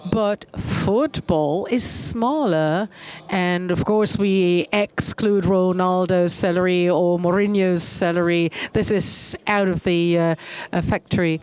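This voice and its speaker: A woman interpreting a conference keynote, measured and pausing often with hesitation fillers. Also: on the low side, a little mellow, clean tone.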